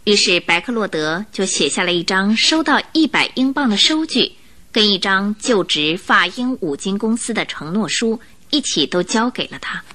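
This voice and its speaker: A woman narrating a Mandarin radio drama as an expressive storyteller of a detective tale. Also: mid-range voice, normal speed.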